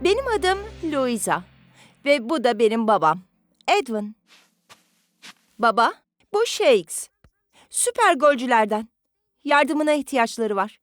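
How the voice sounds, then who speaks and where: A woman voicing a determined young girl in an animated cartoon dub, with an insistent, direct way of talking. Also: a little high, slow, pauses often. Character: determined young girl, retired footballer's daughter.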